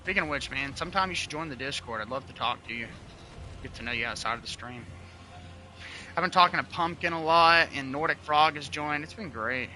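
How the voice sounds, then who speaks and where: Bright-voiced young man streaming. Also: fairly high pitch, a little fast, slight background noise, poor audio. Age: 20s. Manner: relaxed, swears freely, chats to viewers. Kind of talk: casual livestream chat.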